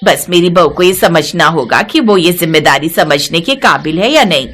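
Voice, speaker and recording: A woman's voice: on the low side, animated, moderate pace, fairly bright tone, faint music behind. A woman playing an earnest older mother-in-law in a cartoon moral story, speaking in an admonishing way. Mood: regretful resolve. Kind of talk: acted Hindi moral-story cartoon dialogue.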